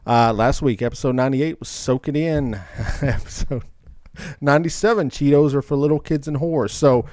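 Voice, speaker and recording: A man's voice: medium pitch, normal speed, darkish tone, no room sound, clean studio sound. A man hosting a podcast, chatting and making announcements in an informal, rambling way. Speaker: podcast host. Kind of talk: casual chat, show announcements.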